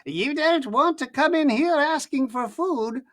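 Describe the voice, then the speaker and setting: A storyteller voicing a giant's wife who gives a slow, stern but kindly warning. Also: high voice, a bit bright, voice pushed up. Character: giant's wife, large woman, stern yet kind.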